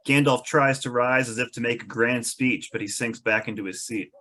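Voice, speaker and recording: Male narrator, average pitch, reading stage directions for a script table read. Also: fairly even intonation, normal speed, sharp tone.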